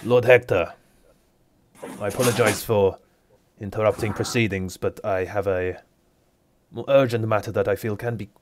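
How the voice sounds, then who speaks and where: A man voicing a dutiful guard captain who speaks politely and briskly. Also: lowish voice, fairly even intonation, lightly acted.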